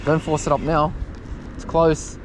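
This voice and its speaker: A young man commentating his own fishing live from an outdoor wharf at night, chatty and loudly excited when fish bite. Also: fairly high pitch, measured pace. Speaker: fishing vlogger. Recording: outdoor night recording with background noise.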